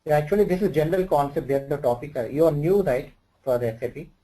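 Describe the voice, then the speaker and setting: Halting Indian man with a mellow voice. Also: a little high, poor audio. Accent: Indian English. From Andhra Pradesh, India. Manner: halting, filler-heavy, loose grammar. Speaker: SAP HR instructor. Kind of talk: informal one-on-one tutoring.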